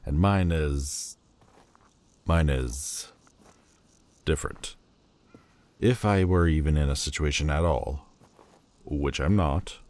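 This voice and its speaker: A man's voice: very deep voice, takes it slowly, long pauses, a little mellow. A man voicing a proud, bitter demon lord who speaks in a cold, cutting, haughty way and hides a lovesick heart. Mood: flustered. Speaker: voice actor. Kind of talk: audio roleplay acting.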